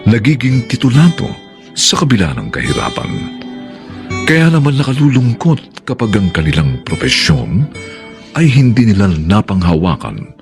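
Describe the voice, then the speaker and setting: A man's voice: on the low side, big pitch swings, normal speed, vocal fry. A man with a Filipino accent narrating a scripted radio drama in a solemn, theatrical way. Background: music.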